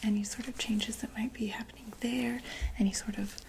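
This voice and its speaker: A woman whispering softly in a breathy ASMR medical roleplay, gently and tentatively talking through each step as a doctor. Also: fairly high pitch, fairly even intonation, brisk, background noise. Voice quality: breathy, mostly unvoiced whisper.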